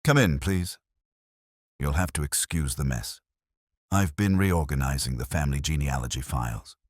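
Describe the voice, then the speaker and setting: A man's voice: very deep voice, dry recording, clean studio sound. A man playing a flustered old lady clerk. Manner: flustered, hurried. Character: flustered older female archives clerk, fussy.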